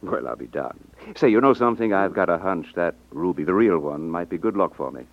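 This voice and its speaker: A muffled old radio recording of a good-natured male customer. He talks quickly in a deep voice, with folksy informality, in fifties radio drama style. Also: animated. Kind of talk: 1950s radio drama acting. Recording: dull 1950s radio recording.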